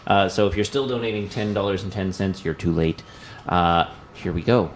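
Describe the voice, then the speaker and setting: A man's voice: low voice, moderate pace, heavy background noise. A man hosting a livestream, making casual announcements. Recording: crowd chatter behind the voice.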